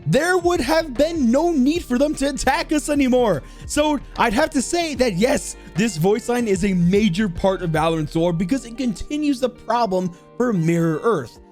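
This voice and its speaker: Male commentary host, high and very lively, chatty and emphatic, light music bed. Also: moderate pace, hardly any pauses. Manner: animated, informal, emphatic. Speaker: video host and narrator. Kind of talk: enthusiastic countdown commentary.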